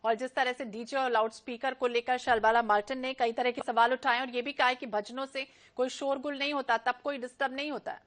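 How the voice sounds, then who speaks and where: A woman's voice: high-pitched, steady tone, brisk, hardly any pauses, a bit bright. A woman news anchor moderating a broadcast debate, introducing guests and putting questions to them.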